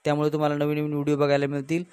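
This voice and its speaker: A man's voice: a little high, monotone, rapid-fire, a little mellow, clear, resonant tone, no room sound. A man speaking as channel host, giving a scripted announcement to viewers.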